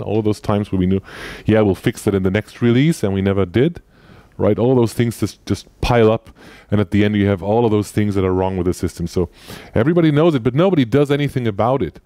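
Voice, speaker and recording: A man's voice: lowish voice, warm tone, no echo. A man in his forties giving a conversational conference talk on a headset mic, often tagging sentences with "right?". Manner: conversational, frequent "right?" tag questions; gestures while walking the stage. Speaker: conference speaker. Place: lecture room with projector.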